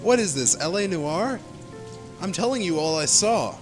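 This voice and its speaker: A man's voice: high voice, expressive, normal speed, soft background music. A man playing a nervous, defensive young witness in an amateur radio play. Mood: defensive, flustered. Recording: faint noise, rain behind.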